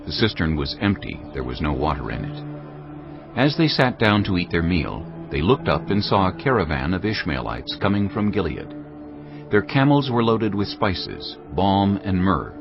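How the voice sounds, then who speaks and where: A man with a deep voice and wide expressive inflection narrates scripture. His delivery is measured and dramatic, with faint music underneath. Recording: dull audio over background music.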